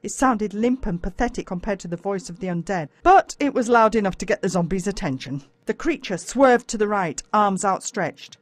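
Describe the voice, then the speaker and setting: An Australian woman narrates a storybook in a medium-pitched voice, close and dry. Her delivery is dramatic and expressive, built for suspense. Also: moderate pace, hardly any pauses, no room sound. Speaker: audiobook narrator.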